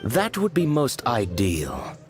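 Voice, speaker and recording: An actor playing a cold, menacing agent in a video game, speaking formally with veiled threat. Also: male voice, mid-range voice, wildly swooping pitch, takes it slowly. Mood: coolly threatening. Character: cold, menacing male agent of a shadowy organization. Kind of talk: video game villain dialogue. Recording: game music behind the voice.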